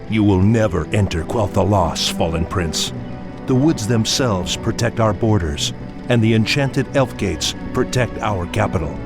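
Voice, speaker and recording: A captured elf who stays proud and defiant before his captor, in a slightly low voice. Game music plays under him. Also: expressive, a little slow.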